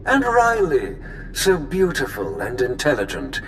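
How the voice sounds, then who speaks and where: A man's voice: mid-range voice, huge pitch swings, unhurried, slight background noise, rough recording. An actor playing a falsely fatherly cult tyrant in a video game, pompous and mock-tender, drawing out his words. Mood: mock sorrow, menace.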